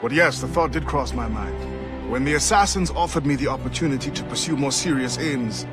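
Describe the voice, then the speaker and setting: An actor playing a resolute former pirate captain turned assassin in a video game, with a grave, deliberate, commanding manner. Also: male voice, medium pitch, over music, close to an everyday voice. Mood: reflective, firm. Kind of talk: dramatic video game acting.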